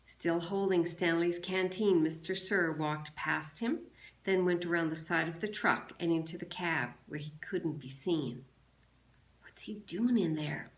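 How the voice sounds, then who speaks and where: A woman teacher reading a children's novel aloud to a class in a measured, deliberate way. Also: lowish voice. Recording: roomy, echoing sound.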